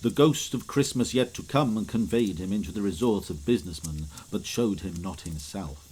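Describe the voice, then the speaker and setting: A man narrating an audio drama of a literary classic, expressive and measured in his storytelling. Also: low voice, husky, poor audio. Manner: expressive, measured, old-fashioned literary phrasing. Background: music.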